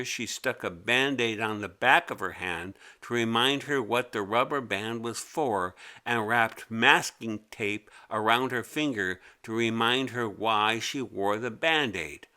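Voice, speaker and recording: A man narrating a children's story as a read-aloud, expressive and deliberate. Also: medium pitch.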